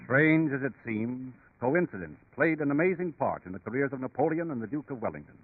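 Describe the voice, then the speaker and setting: A man's voice: mid-range voice, expressive, fairly quick, over music, lightly acted. A man performing as a portentous, dramatic storyteller, narrating in scripted 1930s radio style. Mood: emphatic. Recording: tinny 1930s radio recording.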